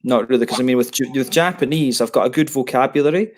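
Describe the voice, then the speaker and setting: Man, 30s, casual rambling hangout talk over a headset, mid-pitched and relaxed, frequent self-corrections. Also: quick pace, slight background noise. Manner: rambling, many restarts and fillers. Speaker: beer reviewer on a video hangout. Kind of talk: casual chat.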